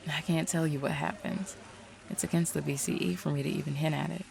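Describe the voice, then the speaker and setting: Woman playing a gravelly, streetwise supernatural detective gal. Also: sits low, fairly even intonation, brisk, a little mellow. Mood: blunt, knowing. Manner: drawling, teasing, folksy slang. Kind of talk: audio roleplay acting.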